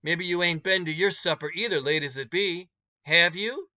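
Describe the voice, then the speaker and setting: Man playing a stern motherly older woman, storybook character, emphatic folksy scolding, bright forceful tone, raised pitch, slightly dull recording. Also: normal speed. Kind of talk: storybook read-aloud character.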